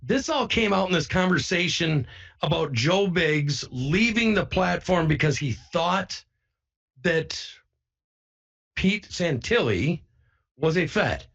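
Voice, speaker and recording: A man with a somewhat high, slightly husky voice speaks informally to his viewers. He is emphatic and insistent, circling back to repeat phrases. The audio is a little dull and lo-fi. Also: lively intonation, takes it slowly, a bit bright. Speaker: online broadcaster. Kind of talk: informal first-person account to viewers.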